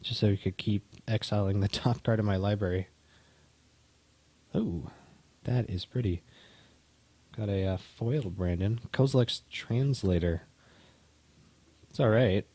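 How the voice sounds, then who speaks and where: A man with a lowish, mellow voice talks casually while opening card packs. He speaks in a relaxed, halting way, often restarting his phrases. The sound is dry and close. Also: dry recording. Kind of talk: casual chat.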